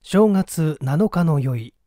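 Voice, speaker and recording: A man's voice: a little high, animated, drawn-out words, mellow, no room sound, clean studio sound. A man reading a story aloud as a dramatic scripted narration. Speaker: audiobook reader.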